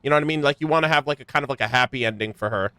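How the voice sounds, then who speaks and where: A man streaming a game, reacting casually with expressive, empathetic interjections. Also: mid-range voice, very fast, clean tone, dry recording.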